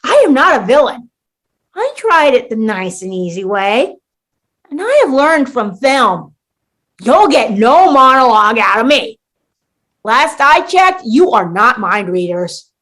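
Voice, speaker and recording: A woman's voice: fairly high pitch, very animated, measured pace, fairly bright tone, full character voice. A woman acting in a comedic radio play as a disgruntled, petty schemer trapped in a holiday sitcom, theatrical and defiant. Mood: indignant.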